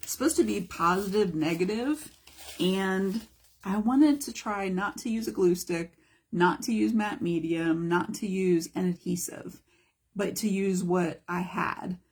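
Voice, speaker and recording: Hoarse, husky woman teaching art casually. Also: on the low side, slow, a little mellow. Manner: chatty, rambling, greets live viewers by name. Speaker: mixed media art teacher. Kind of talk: casual live craft demonstration. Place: home art studio.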